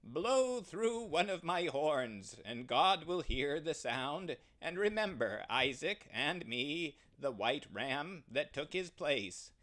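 A man's voice: on the high side, sing-song, fairly bright tone, voice pushed up, full character voice. A man voicing a brave, determined male ram who speaks earnestly and urgently. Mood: solemn. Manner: earnest, urgent, slow and emphatic.

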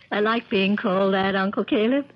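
An actress playing a loyal, firm niece with a deliberate manner in a 1970s radio drama. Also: female voice, medium pitch, close to an everyday voice. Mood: gentle, concerned. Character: loyal, firm young niece guarding her uncle. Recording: crackly, dull 1970s radio recording.

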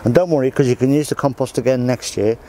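A man's voice: medium pitch, fast, warm tone, slight background noise. A middle-aged man, casually showing how he does a garden job while he works, outdoors on an allotment. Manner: plain, practical, talks while working.

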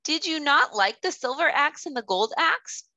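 A woman voicing a kindly, gentle female water fairy in a children's storybook. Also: high-pitched, animated, moderate pace, no echo, voice pushed up, full character voice. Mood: gentle, approving. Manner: kind, gently questioning. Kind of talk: children's storybook read-aloud.

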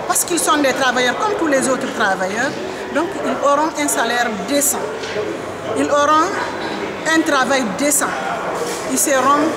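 A woman's voice: high-pitched, low-quality recording. A middle-aged woman from Guinea, a trade union leader, answering press questions earnestly and insistently into a handheld microphone. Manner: earnest, insistent, repeats key phrases. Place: indoor public building, crowded. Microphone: handheld reporter mic held close. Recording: loud crowd chatter behind.

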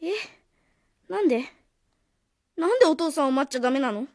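A woman's voice: sits high, animated, fairly quick, no room sound, clean studio sound. A woman playing a guarded, defiant boy in his early teens in a radio drama, speaking plainly and boyishly. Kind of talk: Japanese radio drama acting.

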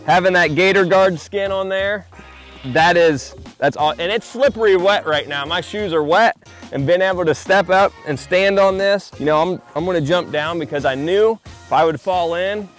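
A man's voice: high-pitched, moderate pace. Guy on his boat outside, laid back and rambly, lots of uhs, faint music behind. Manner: relaxed, chatty, many fillers and restarts. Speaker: pro angler giving a boat tour. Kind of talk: casual walkthrough vlog. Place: outdoors on a boat on the water.

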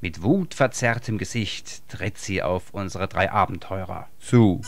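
A man's voice: low voice, a little slow, faint music behind. A man reading scripted narration as the narrator of a children's audio drama. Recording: clear background noise, ambient sound.

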